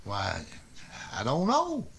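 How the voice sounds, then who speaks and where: High-voiced anxious sidekick. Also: male voice, wildly swooping pitch, slow pace, creaky, a bit bright, slight background noise, full character voice. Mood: puzzled, worried. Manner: folksy, hesitant. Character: anxious, simple, loyal male deputy sidekick in the Old West. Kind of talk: old-time radio western acting.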